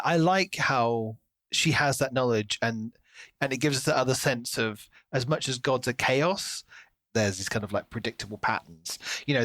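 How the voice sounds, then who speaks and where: A man co-hosting a podcast, talking through a book in a casual, animated way. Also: medium pitch, no echo. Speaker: podcast co-host.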